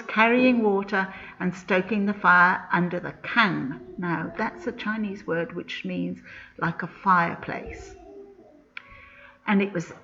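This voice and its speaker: A woman's voice: mid-range voice, fairly bright tone, highs cut off. A woman reading a folk tale aloud like a teacher to children, expressively, with explanatory asides.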